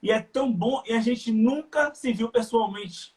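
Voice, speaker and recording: A man from Salvador with a Bahian accent, speaking earnestly and haltingly in a casual chat, with many fillers. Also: extremely high pitch, darkish tone. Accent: Bahian Brazilian Portuguese. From Salvador, Bahia, Brazil. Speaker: live stream guest.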